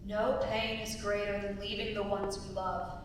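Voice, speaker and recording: A woman playing a solemn, consoling goddess in a dramatic stage reading, measured and even. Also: natural voice. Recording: very echoey hall, distant mic, rumbly noise.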